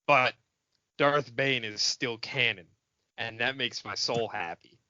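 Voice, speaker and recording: A man's voice: mid-range voice, slow, sharp tone, dry recording, dull audio. A man chatting casually as a podcast co-host, swearing and being playfully melodramatic. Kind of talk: casual fan podcast chat.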